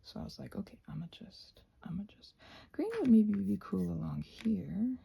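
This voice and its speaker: A woman's voice: mid-range voice, animated, normal speed, creaky, dark tone, husky. A North American woman chatting casually while crafting, playful and scatterbrained, thinking aloud and often trailing off into murmurs. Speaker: craft and ASMR vlogger.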